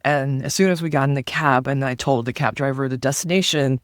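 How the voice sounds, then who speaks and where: A woman from Taiwan, a comedian and guest on an interview, telling stories in an animated, chatty way and acting out the voices she quotes. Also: very deep voice, fairly quick, dry recording, clean studio sound. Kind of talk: casual interview storytelling.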